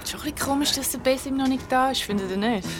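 A woman's voice: sits high, quick pace, loud music behind the voice, natural voice. A woman playing a sceptical, teasing young friend in colloquial radio drama banter. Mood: uneasy, annoyed.

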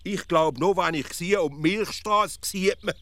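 Nagging, deadpan Swiss provincial. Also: male voice, sits high, measured pace. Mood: insistent. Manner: deadpan, muttering echoes of what he hears. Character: nagging, suspicious, literal-minded provincial male everyday philosopher. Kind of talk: deadpan radio comedy dialogue.

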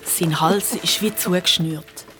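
Low-voiced Swiss German woman storyteller. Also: slow, bright, forceful tone, slight background noise, low-quality recording. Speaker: narrator. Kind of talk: storytelling narration for children's radio play. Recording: music behind the voice.

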